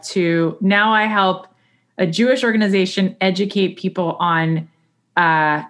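Woman podcast host w a lowish voice, does intros and interview chat. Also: a bit bright. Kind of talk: scripted introduction and conversational interview.

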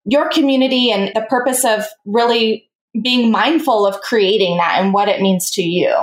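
A woman's voice: on the high side, moderate pace, roomy sound. A woman co-hosting a podcast, talking in a bubbly, casual way full of fillers. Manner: bubbly, filler-heavy, lots of "like". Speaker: podcast co-host. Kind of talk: casual interview conversation.

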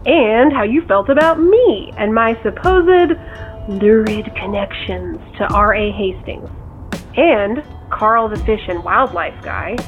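A woman playing a skeptical, reasonable confidante in an audio drama, measured and wry, heard through a phone filter. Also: mid-range voice, animated. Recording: muffled phone-like line, music behind.

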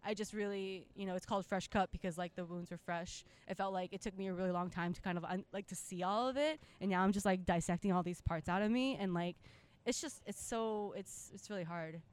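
A woman's voice: medium pitch, brisk, smooth. A woman from Japan speaking passionately and casually as an interviewed musician, peppering her talk with "like" and "you know.". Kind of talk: casual, opinionated interview chat.

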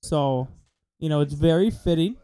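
Man, Wisconsin accent, slightly higher pitch, smooth resonant tone, mellow, casual home chat. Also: moderate pace. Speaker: podcast co-host. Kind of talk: casual chat among friends. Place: home living room by a fireplace.

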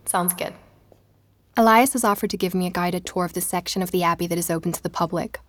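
A woman's voice: on the low side, steady tone, quick pace, fairly bright tone, no echo, natural voice. A woman acting in an audio drama as an inquisitive young researcher who tells of her travels with earnest wonder. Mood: curious. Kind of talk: audio drama narration and natural dialogue.

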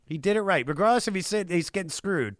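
A man's voice: sits high, lively intonation, fast talker, dry recording. An American man hosting an enthusiastic, conversational music show, slangy and emphatic, repeating phrases for stress.

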